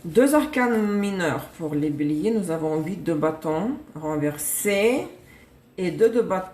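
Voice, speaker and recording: A woman's voice: on the low side, expressive, a little slow, a little mellow. A woman reading tarot cards on a live stream, chatty, laughing and correcting herself as she goes. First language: Russian. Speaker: tarot reader. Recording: echoey room.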